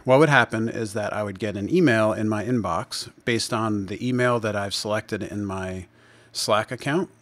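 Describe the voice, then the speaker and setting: A man presenting a software demo in an unscripted screencast, explaining step by step in a measured way. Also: fairly low pitch, no echo. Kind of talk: screencast tutorial narration, unscripted.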